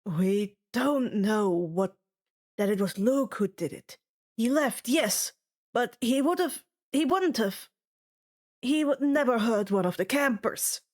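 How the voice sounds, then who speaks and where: Lady reading as earnest faltering camp helper, soft-hearted, slow and unsure, audiobook. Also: fairly high pitch, expressive. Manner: hesitant, earnest.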